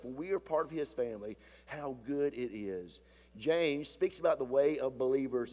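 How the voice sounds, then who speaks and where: A male pastor preaching a sermon with a stuffy, sinus-deepened voice. He often swells louder and slows down to stress a point. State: stuffy from a sinus issue, voice deeper than usual. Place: church sanctuary. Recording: long hall reverb from a church sanctuary.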